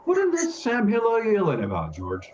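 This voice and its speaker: A man playing a gruff, puzzled small-town policeman. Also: sits high, wildly swooping pitch, brisk, a little mellow, highs cut off, a little hiss or hum.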